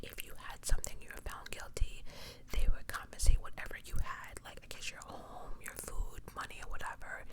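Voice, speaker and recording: Whispering woman, true crime ASMR. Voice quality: breathy, unvoiced whisper. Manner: conversational, rambling asides. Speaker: ASMR host.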